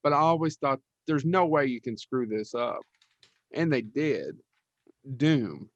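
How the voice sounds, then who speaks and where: A man's voice: medium pitch, lively intonation, unhurried, no room sound, lo-fi sound. A man co-hosting a podcast, chatting casually about films in a blunt, wry, sometimes profane way. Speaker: podcast co-host. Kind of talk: casual film chat.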